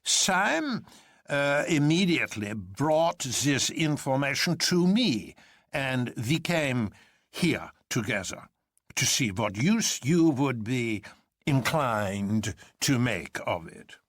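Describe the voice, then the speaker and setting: A man voicing an elderly professor in a story, laboured and elaborately calm, dragging out his words. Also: medium pitch, takes it slowly, no echo, clean studio sound, voice pushed up, heavily acted. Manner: drags words out ponderously.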